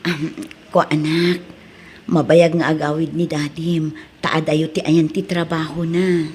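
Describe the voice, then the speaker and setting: A woman's voice: sits low, flat delivery, measured pace, a little mellow, slight background noise. A woman playing a worried, debt-burdened mother in an Ilocano drama, with an Ilocano accent and a plaintive, sighing delivery. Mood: gently evasive. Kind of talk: Ilocano radio drama acting.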